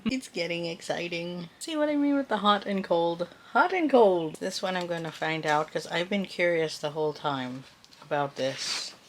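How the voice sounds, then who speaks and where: Woman with a slightly low voice, chatting casually. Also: lively intonation, normal speed, a little hiss or hum. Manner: informal and chatty, thinking aloud, exclaiming reactions. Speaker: book vlogger. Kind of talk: casual reading vlog, reacting aloud.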